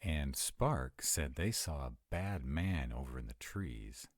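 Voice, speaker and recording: A man's voice: very deep voice, animated, takes it slowly, no echo, clean studio sound, heavily acted. A man playing an earnest, dutiful young vampire in a roleplay audio drama, speaking hesitantly and protectively. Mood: uneasy, recounting.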